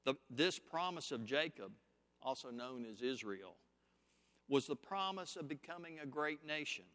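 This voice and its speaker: A man preaching a sermon, teaching scripture point by point in a slow, deliberate, emphatic way. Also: medium pitch, expressive, fairly bright tone, long reverb tail.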